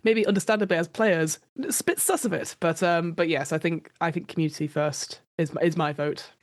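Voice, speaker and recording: A woman with a low voice, recorded dry, plays a tabletop roleplaying game. She speaks casually, feeling her way through loose, hesitant sentences. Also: fairly even intonation, a little fast, dry recording. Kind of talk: casual improvised game talk.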